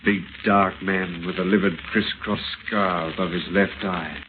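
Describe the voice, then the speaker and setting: Casting: anguished, vengeful husband, lowish voice, theatrical radio thriller, very noisy vintage recording. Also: male voice, fairly even intonation. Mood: haunted, bitter. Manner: intense, deliberate, theatrical. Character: grief-stricken, vengeful husband, a lawyer. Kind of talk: 1930s-40s radio acting, melodrama. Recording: noisy, scratchy, tinny old-time radio recording.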